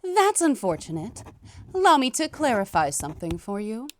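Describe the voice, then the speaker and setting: A woman voicing a fussy, officious, easily scandalized female inspector in an animated comic dub. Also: a little high, normal speed, slight background noise. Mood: officious, condescending. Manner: officious, pedantic, flustered outbursts. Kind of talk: animated fandub voice acting.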